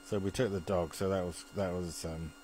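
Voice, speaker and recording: A man's voice: low-pitched, fairly even intonation, moderate pace, darkish tone, slight background noise. A British man in a rambling, chuckly casual chat, often muttering to himself as he operates an amateur television link.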